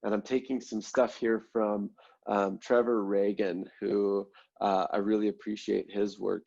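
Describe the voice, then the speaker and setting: A man leading an online mindfulness class, congested and a little nasal, explaining breathing steps in a relaxed way with many ums and "right?" check-ins. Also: on the low side, a little slow, vocal fry, lo-fi sound. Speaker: mindfulness instructor.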